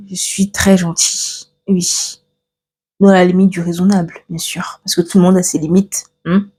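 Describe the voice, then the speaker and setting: A young woman from Cameroon talks casually to her viewers, stopping and restarting as she glances at her notes. Her voice sits low and mellow, with a soft husky edge. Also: little pitch movement, normal speed. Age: 20s. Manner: chatty, many fillers and restarts, reads from notes. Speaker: vlogger. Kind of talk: casual get-to-know-me chat.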